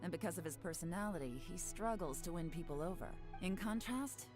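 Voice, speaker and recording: A woman playing a shrewd, composed intelligence chief in a video game, speaking with measured authority. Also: fairly low pitch, expressive, over music, lightly acted. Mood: formal announcement.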